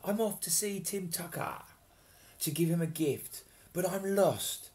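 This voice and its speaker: A man's voice: high voice, measured pace, darkish tone, voice pushed up. A man voicing a small, kindly female tooth fairy in a children's storybook, eager and exclamatory.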